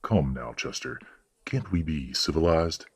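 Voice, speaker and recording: A stern werewolf patriarch, acted by a man for an audio drama. His voice is extremely low and husky. He speaks formally and with measured authority, a courteous father set on tradition. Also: animated, full character voice. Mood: civil, measured. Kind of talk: audio roleplay drama acting.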